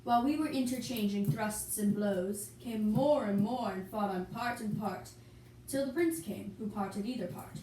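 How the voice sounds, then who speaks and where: A woman's voice: medium pitch, moderate pace, warm tone, natural voice. A woman voicing an earnest young nobleman, reciting verse in an amateur Shakespeare puppet show. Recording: echoey room, distant mic, background hiss.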